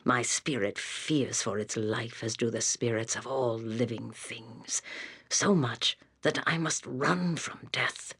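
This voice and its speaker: A woman playing a blind old seer in a fantasy video game, fearful and fatalistic, speaking solemnly and cryptically in a breathy, raspy voice with long pauses. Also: extremely low pitch, fairly bright tone, heavily acted. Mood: haunted, regretful. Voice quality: breathy, raspy, whispery creaking voice. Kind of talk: video game character acting.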